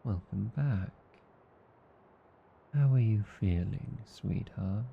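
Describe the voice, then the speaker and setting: A man with an Australian accent playing a tender, teasing vampire husband in an ASMR roleplay, soothing, coaxing and calmly hypnotic. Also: fairly low pitch, takes it slowly, creaky, narrow, tinny audio, a little hiss or hum, full character voice. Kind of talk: ASMR roleplay, slow intimate scripted acting.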